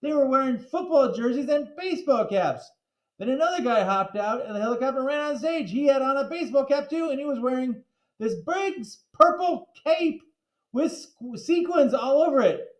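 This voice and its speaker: A man reads a children's storybook aloud with big, lively swings in pitch. His delivery is playful and theatrical, stretching words for emphasis. The sound is roomy and echoing. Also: moderate pace. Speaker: teacher reading aloud to a class.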